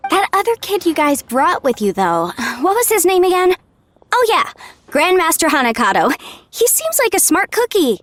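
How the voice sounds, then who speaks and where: A woman's voice: extremely high pitch, big pitch swings, normal speed, fairly bright tone, dry recording, heavily acted. An actress playing a friendly, easygoing young woman in an anime-style video game, talking in a breezy, chatty way. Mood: musing, chatty.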